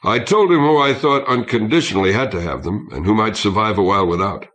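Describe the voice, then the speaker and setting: A man's voice: lowish voice, animated, fast talker, fairly bright tone. A man voicing a weary, grave male starship officer in an audiobook, speaking in a clipped, matter-of-fact way. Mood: brisk, matter-of-fact.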